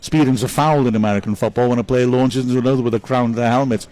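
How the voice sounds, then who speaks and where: A man's voice: medium pitch, steady tone, rapid-fire, dark tone. A man giving live club commentary on a football match, reading out viewer messages and chatting upbeat about each player.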